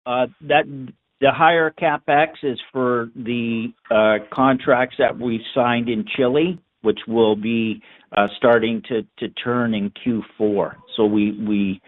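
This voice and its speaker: A man speaks as a finance executive fielding questions on an earnings call. His delivery is halting, with words often repeated as he builds his answer. The sound is narrow and phone-like. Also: medium pitch, fairly even intonation. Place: conference call. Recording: narrow, tinny phone line.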